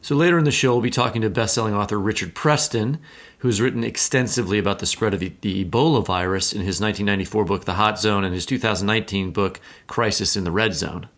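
Man, low-pitched voice, American accent, casual podcast co-host, conversational. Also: quick pace, fairly bright tone.

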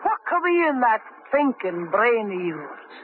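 A sly, high-voiced scheming sailor. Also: male voice, sing-song, unhurried, exaggerated voice. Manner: coaxing, sly, keeps calling his partner "John boy". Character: scheming wheedling sailor plotting murder, a grown man. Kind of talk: 1950s radio drama acting. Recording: tinny lo-fi old radio broadcast with faint hiss.

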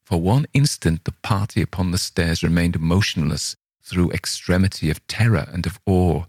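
A man narrates as a condemned Gothic murderer making his confession. The voice is low, grave and deliberate, in a very dry audiobook sound. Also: darkish tone, no room sound. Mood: grim horror. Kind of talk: dramatic audiobook reading of Gothic horror.

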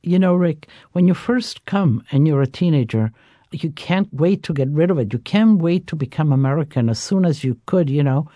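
Dark-voiced woman with an Italian accent. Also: deep voice, lively intonation, normal speed, no room sound, highs cut off. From Istria, Italy/Croatia. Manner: warm storytelling, many fillers. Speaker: chef, guest. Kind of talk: radio interview.

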